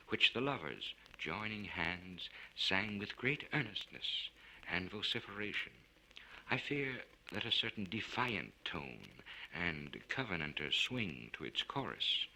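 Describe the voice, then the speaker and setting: Low, sharp-edged male story narrator. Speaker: narrator of a literary short story. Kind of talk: scripted dramatic narration. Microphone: studio recording for LP. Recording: noisy vintage spoken-word record.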